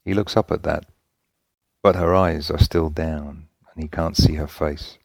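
Very deep-voiced man reading a story. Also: steady tone, a little slow, gravelly, dry recording. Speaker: story reader. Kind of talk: scripted narration of fan fiction in folksy vernacular.

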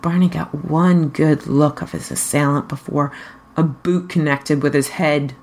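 A woman narrates fiction in a low, husky voice. She takes her time over each phrase, reading from a script as a storyteller. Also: steady tone, unhurried, a little mellow. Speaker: fan fiction reader.

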